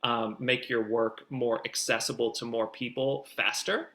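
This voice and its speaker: A man's voice: medium pitch, steady tone, unhurried, pushed and bright. A man giving conversational expert advice as a guest producer, in an explanatory, emphatic way.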